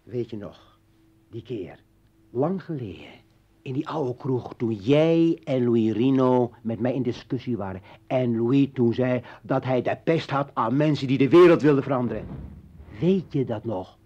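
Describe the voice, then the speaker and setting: Bitter militant guy in an old radio play, husky voice, ranting and taunting, muffled old tape. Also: medium pitch, lively intonation, unhurried, mellow. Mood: bitter, insistent. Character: bitter, militant male revolutionary and former journalist. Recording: dull vintage radio drama recording.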